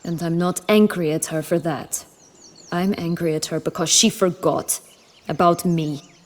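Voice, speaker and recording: An actress playing a proud, bitter banished noblewoman turned bandit leader, wry and measured, in a fantasy game. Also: fairly low pitch, close to an everyday voice. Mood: resentful. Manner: wry, measured, dramatic storytelling. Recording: faint ambient noise behind.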